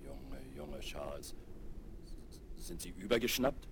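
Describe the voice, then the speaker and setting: Deep-voiced, chatty airfield hand. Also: male voice, animated, measured pace, a bit bright, full character voice. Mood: astonished. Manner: folksy, inquisitive, exclaiming. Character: chatty, frank airfield mechanic, friendly and easily amazed. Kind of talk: German children's radio play acting. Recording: noisy vinyl radio-play recording.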